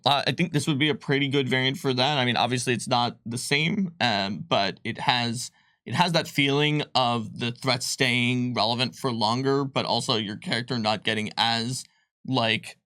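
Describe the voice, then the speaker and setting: A man casually explaining tabletop game rules on a live stream, chatty, with fillers and quick laughs. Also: mid-range voice, pushed and bright, no echo, clean studio sound. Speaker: game designer presenting a rulebook on a live stream.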